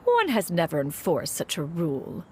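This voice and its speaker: A woman's voice: on the low side, wildly swooping pitch, moderate pace, a little hiss or hum. A woman playing a proud, caring immortal elder in a video game, speaking in a formal, haughty manner. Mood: haughty, indignant. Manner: formal, haughty, refers to herself as "one".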